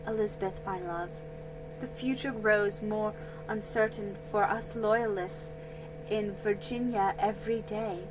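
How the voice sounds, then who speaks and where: A woman voicing a gentle, saddened colonial mother who speaks softly. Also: mid-range voice, fairly even intonation, measured pace, music in the background, close to an everyday voice, rough recording. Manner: soft, gentle.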